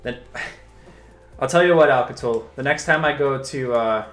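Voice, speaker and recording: Young adult man, bright tone, relaxed stream banter over faint music. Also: medium pitch, unhurried. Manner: relaxed, chatty, laughs through his stories. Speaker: streaming artist. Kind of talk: casual chat while drawing on stream.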